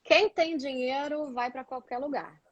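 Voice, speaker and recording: A woman's voice: high-pitched, a little slow, poor audio. A Brazilian woman chatting casually and explaining things as a guest, repeating words for emphasis. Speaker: guest, doctoral student living in Portugal.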